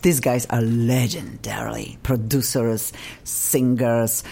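A woman's voice: very deep voice, steady tone, slow pace, creaky, mellow. A woman from Poland with a Polish accent, hosting a radio talk show in a bubbly, effusive way and laughing often.

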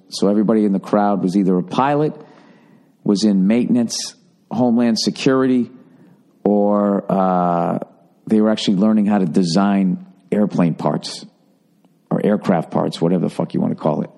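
A middle-aged man riffs through a loose podcast monologue, swearing freely and sounding sardonic. His voice is dark and mellow and sits fairly low. He rambles with plenty of ums and restarts. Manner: profane, sardonic storytelling with frequent fillers. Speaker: podcast host. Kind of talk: casual solo podcast monologue.

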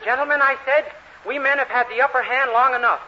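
High-pitched, eager, naive young American fellow. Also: male voice, a little fast. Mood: pleased, bragging. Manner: earnest, guileless, excitable. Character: naive, eager, dim-witted young man. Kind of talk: 1940s radio situation comedy acting. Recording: hissy, muffled old radio recording.

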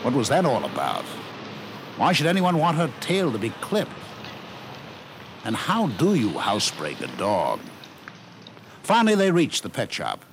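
A man narrating a scripted story for 1950s radio, with measured phrasing and dramatic pauses. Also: mid-range voice, wildly swooping pitch. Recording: noisy 1950s radio broadcast recording.

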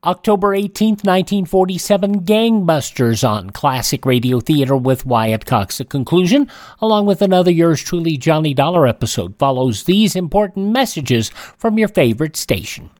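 Higher-voiced man announcing, dry studio sound. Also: normal speed, a little mellow, dry recording. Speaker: radio program host. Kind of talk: scripted radio announcing.

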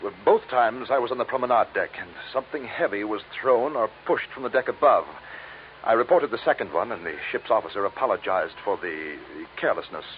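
An actor playing an anxious, educated archaeologist in an old radio drama, polite and evasive with a hesitant stammer. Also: male voice, fairly low pitch, expressive, brisk, close to an everyday voice. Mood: defensive, uneasy. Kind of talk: 1940s-50s radio drama acting. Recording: dull, noisy old radio recording.

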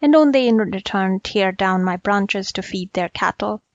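A woman's voice: mid-range voice, moderate pace, dry recording, close to an everyday voice. A cold, bitter storybook tree read by a woman, stern and scolding without sympathy. The recording is dry and faintly dull. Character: cold, bitter, unsympathetic old peepul tree.

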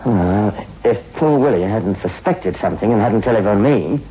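Casting: young crime reporter, radio drama. Low-pitched, mild, inquisitive. Muffled vintage sound. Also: male voice, expressive, moderate pace, dark tone, breathy edge. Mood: curious. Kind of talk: 1960s radio drama acting. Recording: muffled, hissy 1960s radio recording.

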